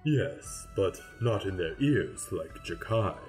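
A man playing a polite demon grim reaper in an audio drama, speaking deliberately and formally. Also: low voice, lively intonation, darkish tone, soft background music. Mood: matter-of-fact. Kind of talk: dramatized webcomic audio play.

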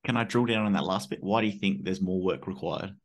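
Fast-talking, deep-voiced male host. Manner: brief, direct follow-up questions. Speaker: podcast host. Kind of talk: interview questions. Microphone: headset and desk mic.

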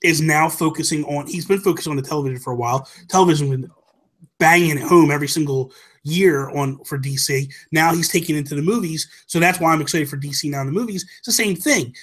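A man's voice: fairly high pitch, fast, a bit bright. A middle-aged man chatting casually as a podcast co-host, making his points emphatically and repeating them for stress. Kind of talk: casual opinionated chat. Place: small home room. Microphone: desk microphone, headset headphones.

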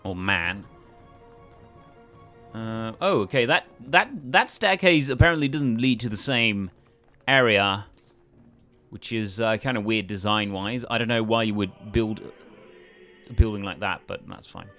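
A man's voice: on the low side, measured pace, fairly bright tone, soft background music. A man casually thinking aloud as he commentates a video game. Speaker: let's play host.

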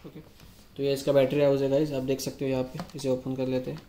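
A man explains a product casually, in a very even, monotone delivery. His voice sits at a medium pitch. The room adds clear echo, with faint noise behind him. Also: fairly quick. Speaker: product demonstrator. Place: echoey showroom with product displays.

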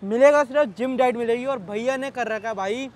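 Man, very high voice, food vlogger, chatty, curious questions, phone camera, street noise. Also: fairly quick. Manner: chatty, curious questioning, keeps saying "bhai". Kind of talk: casual vlog chat.

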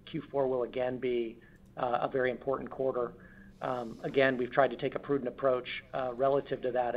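A man speaking as a finance executive on an earnings call, choosing words carefully and hesitantly. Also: mid-range voice. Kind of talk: earnings call answer, business commentary. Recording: dull lo-fi conference call audio.

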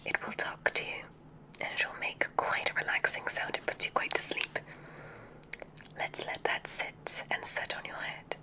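A woman whispering an ASMR roleplay as a gentle witch examiner, giving soothing, instructive guidance. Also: normal speed, low-quality recording.

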